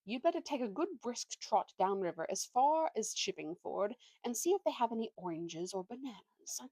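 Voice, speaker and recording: A woman's voice: on the high side, animated, moderate pace, dark tone, no echo, pitched above the performer's own voice. A woman voicing a manipulative, self-pitying male talking ape in a storybook, with a bossy, wheedling, indignant manner.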